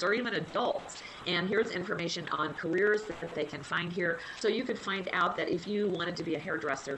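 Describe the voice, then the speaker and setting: A woman with a low, slightly nasal voice, stuffed up from a cold, walks listeners through online resources in an easy, conversational way. Her speech is loose and friendly, with plenty of small fillers. The audio carries a clear layer of background noise, as in a web conference. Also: bright, forceful tone, rough recording. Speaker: health library liaison presenting a webinar. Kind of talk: casual webinar presentation.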